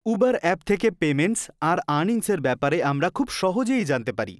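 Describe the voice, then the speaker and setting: A man's voice: high voice, big pitch swings, a little fast, dry recording, studio quality. A man reading scripted instructional narration for a training film.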